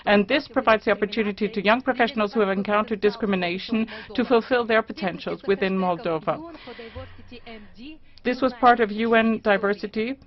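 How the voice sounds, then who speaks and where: Woman interpreting a forum speech. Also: mid-range voice. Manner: measured, with some fillers and restarts, pace shifting. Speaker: simultaneous interpreter. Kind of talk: simultaneous interpretation of a formal speech. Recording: dull lo-fi conference recording.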